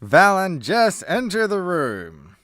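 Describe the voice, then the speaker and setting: A man's voice: sits high, very animated, slow, fairly bright tone, no room sound, studio quality. A man delivering scripted narration as the narrator of a comedy radio play.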